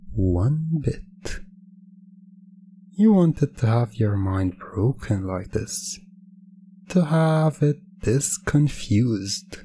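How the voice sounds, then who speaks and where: A man performing hypnosis ASMR in a soft, husky, breathy voice, speaking deliberately with a teasing, commanding manner. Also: mid-range voice.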